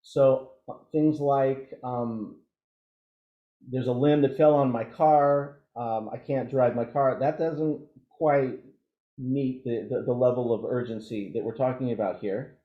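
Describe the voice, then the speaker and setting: A man giving an informal training presentation as an instructor, explaining things haltingly and thinking aloud through examples. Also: mid-range voice, steady tone. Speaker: emergency radio trainer. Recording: dull, roomy, echoey audio.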